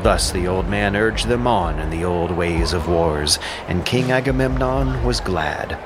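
A man's voice: sits low, unhurried, noisy background. A man delivering scripted dramatic narration of an ancient epic as a storyteller.

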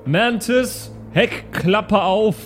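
A man's voice: high-pitched, animated, drawn-out words, soft background music, exaggerated voice. A man playing an earnest young male spaceship crewman with casual, slangy speech in an improvised tabletop audio play. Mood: insistent. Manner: casual, saying slang exclamations.

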